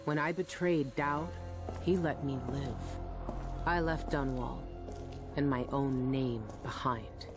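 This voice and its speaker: A woman's voice: rumbling low, steady tone, slow pace, full character voice. A woman playing a weary, guilt-ridden former assassin in a video game, introspective and clipped over music. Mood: brooding. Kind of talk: brooding video game narration and dialogue.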